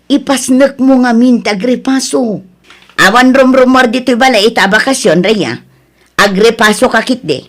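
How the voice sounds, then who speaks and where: A strict Ilocano mother in a radio-style drama, acted by a woman, with a fairly high voice. She scolds and brags, looking down on other people's children. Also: moderate pace, lightly acted. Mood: strict, scolding. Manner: scolding, mocking, bragging. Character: boastful, strict mother who belittles neighbors' children.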